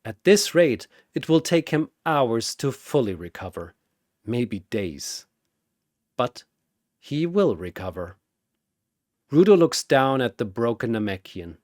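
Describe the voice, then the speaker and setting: Dramatic male narrator, slow and very dynamic. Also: mid-range voice, big pitch swings, darkish tone, no room sound, studio quality. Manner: theatrical, suspenseful. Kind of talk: dramatic scripted battle narration.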